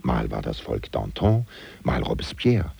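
An actor playing a wry, gossiping French valet who confides ironically in the listener as narrator of a German radio play. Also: male voice, extremely low pitch, big pitch swings, measured pace, vocal fry, mellow, husky, heavily acted. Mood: wry, bewildered. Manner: confiding, ironic, rhetorical questions.

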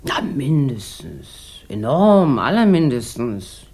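A woman's voice: a bass voice, very animated, takes it slowly, a little mellow, a little hiss or hum, heavily acted. A woman playing a bored, boozy rich housewife in a 1960s German radio drama, theatrical and sardonic, drawing out her rambling remarks. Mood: tipsy, expansive.

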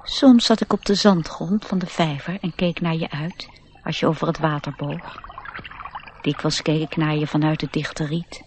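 A woman acting a proud, youthful male nature sprite, self-assured and gently instructive, in a radio play. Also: low-pitched, steady tone, normal speed, background noise. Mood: confiding.

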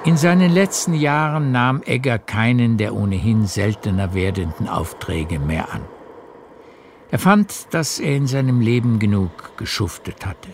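A man narrating a literary radio play in a measured storytelling manner. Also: medium pitch, expressive, noisy background. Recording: music behind the narration.

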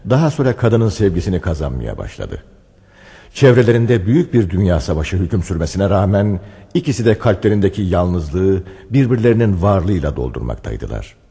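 A man playing a solemn storyteller, narrating a radio drama in a measured, dramatic way. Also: low-pitched, vocal fry, mellow, slightly rough, in a large hall, full character voice. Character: solemn male storyteller narrating a wartime romance.

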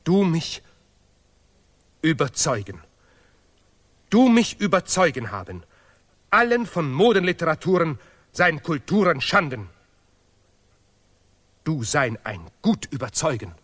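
A man's voice: on the high side, very animated, slow pace, long pauses, a bit bright, exaggerated voice. An actor playing a zealous, self-important male laureate, pious and bigoted, speaking emphatic broken grammar in an absurdist radio play.

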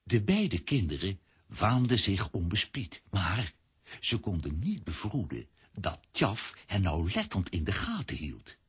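A man with a raspy voice giving scripted, dramatic narration for a children's audio drama. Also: deep voice, very animated, unhurried, no echo.

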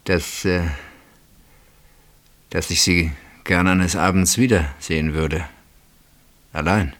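Radio play: regretful, hesitant husband, deep register, intimate and halting. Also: male voice, fairly even intonation, fairly bright tone. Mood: fond reminiscing. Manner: hesitant, halting, reflective, repeats words. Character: wistful married gentleman named Pierre, hesitant and regretful.

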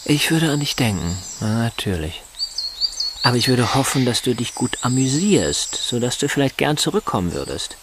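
An actor in a German radio drama playing a bitter, sardonic husband in a wheelchair who lectures and rationalizes with emphasis. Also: male voice, medium pitch, very animated, moderate pace, background noise, close to an everyday voice. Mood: bitter, insistent.

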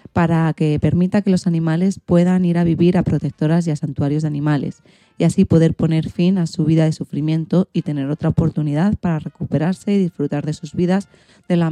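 Very mellow Spanish woman presenting. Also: sits low, no echo. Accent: Spain Spanish. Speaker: radio programme host. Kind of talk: scripted magazine show presenting.

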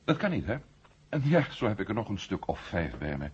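Provocative ex-astronaut, husky, animated delivery, argumentative, rhetorical, radio play. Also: male voice, on the low side, a little fast, heavily acted. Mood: probing, confident. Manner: argumentative, rhetorical, building his case point by point. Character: outspoken ex-astronaut turned maverick writer, male, confident and provocative. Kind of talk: 1970 Dutch radio drama acting. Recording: muffled old radio drama tape.

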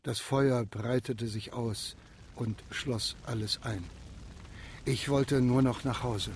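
A man acting as a disaster survivor who narrates gravely in a radio drama, with a husky voice. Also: mid-range voice, steady tone, background noise. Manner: slow, grave delivery. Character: male disaster survivor recounting horrors, grave.

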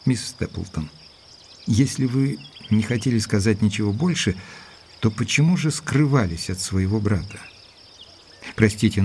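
An actor playing an earnest, gentlemanly doctor in an audio drama, speaking in a courteous, measured way. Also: male voice, lowish voice, a little hiss or hum, natural voice. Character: earnest gentlemanly doctor, loyal and cautious, narrating. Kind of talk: audio drama narration and dialogue.

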